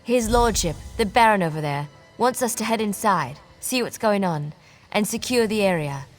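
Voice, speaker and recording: A woman voicing a dry, sarcastic seasoned warrior companion in a fantasy video game, soft music behind. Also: mid-range voice, expressive, normal speed, lightly acted. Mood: wry. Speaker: game character.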